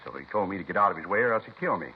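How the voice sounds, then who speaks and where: Low-voiced, folksy grocery butcher. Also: male voice, animated, rapid-fire. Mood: earnest. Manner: folksy, ungrammatical, plain-spoken. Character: earnest, simple, good-natured male grocery butcher. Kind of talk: 1950s radio police drama acting. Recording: muffled, hissy 1950s radio recording.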